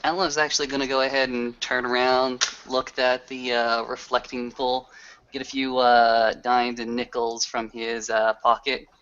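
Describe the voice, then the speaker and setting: A man's voice: mid-range voice, little pitch movement, a little slow, a bit bright, clean tone. A man casually narrating his character's actions as a player in a tabletop roleplaying game. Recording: dull lo-fi voice recording.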